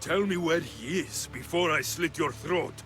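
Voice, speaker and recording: An actor playing a terse, protective, hot-tempered mercenary in a video game. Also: male voice, a little high, unhurried, a bit bright. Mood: defiant. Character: gruff male Greek mercenary hero, protective, hot-tempered. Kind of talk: dramatic video game acting. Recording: dramatic music behind the voice.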